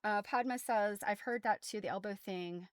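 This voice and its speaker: A woman's voice: mid-range voice, moderate pace, clean tone, no room sound. An American woman hosting a casual craft livestream, explaining things in an informal, rambling way full of trailing asides. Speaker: host of a craft show. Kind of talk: casual livestream chat about quilts.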